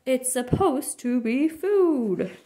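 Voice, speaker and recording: A woman voicing a loud, grumpy, easily outraged father bear in a children's storybook. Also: high-pitched, expressive, slow pace. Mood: indignant. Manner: blustering, exclamatory.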